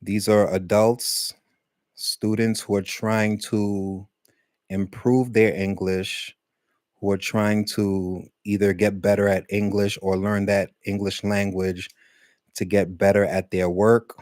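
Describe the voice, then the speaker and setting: A man teaching English to adult learners in a loose, rambling talk, full of fillers and slowing down to stress key points. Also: on the low side, level tone, darkish tone, no room sound, clean studio sound. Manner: conversational, many fillers, speeds up and slows for emphasis. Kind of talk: casual rambling lesson talk.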